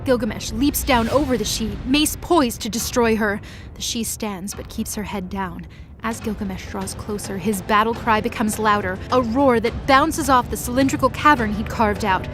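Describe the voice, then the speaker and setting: A woman's voice: on the high side, moderate pace, over music. A woman giving dramatic scripted narration for a mythology audio story.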